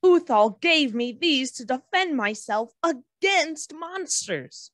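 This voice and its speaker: A woman voicing a timid small talking shrub plucking up courage, with dramatic delivery in an animated fantasy table read. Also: sits high, slow pace, dry recording, studio quality, full character voice. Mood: anxious, hopeful. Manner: dramatic, exclamatory.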